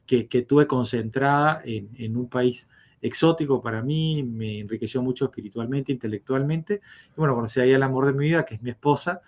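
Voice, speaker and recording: A man tells his life story as a podcast guest, pausing often on fillers and restarting his sentences. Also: mid-range voice. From Uruguay. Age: 50s. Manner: hesitant, many fillers and restarts.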